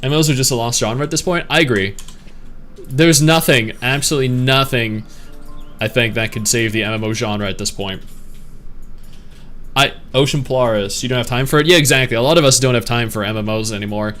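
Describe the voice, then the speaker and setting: A man's voice: mid-range voice, a little fast, a bit bright, soft background music. Chatty man streamer answering chat. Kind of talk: casual chat reading live chat.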